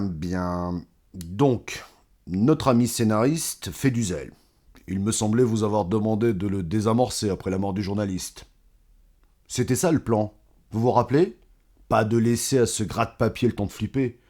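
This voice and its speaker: An actor playing an older corrupt mayor and crime boss in an audio drama, measured, sardonic and condescending, with menace under false courtesy. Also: male voice, lowish voice, a little mellow.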